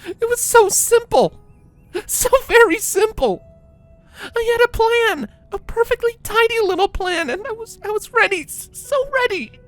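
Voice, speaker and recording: A frantic female cartoon unicorn villain acted out by a man in a story reading. The voice is extremely high and swoops wildly, overwrought and despairing. Music sits softly underneath. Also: animated, measured pace, no room sound, full character voice. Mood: sobbing, defeated. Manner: overwrought, rambling.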